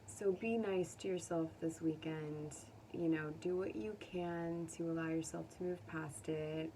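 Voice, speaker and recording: A woman with a slightly low voice speaks as a tarot reader addressing her viewers. Her delivery is unhurried, gentle and consoling, with informal, chatty phrasing. She sounds somewhat distant from the microphone. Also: steady tone. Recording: distant mic, faint background noise.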